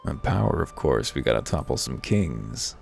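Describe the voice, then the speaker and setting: A man's voice: very deep voice, big pitch swings, moderate pace, gravelly, darkish tone. A man hosting a game playthrough with casual, dry, ironic commentary over music. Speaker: host of a voiced game playthrough. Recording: music behind the voice.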